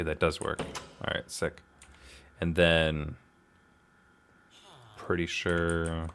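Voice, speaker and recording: A man talks his way through a game. His delivery is casual and slangy, with self-deprecating asides and exclamations, as if thinking out loud for his viewers. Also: sits low, a little hiss or hum. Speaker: gaming video host. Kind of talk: casual gameplay commentary, talking to himself.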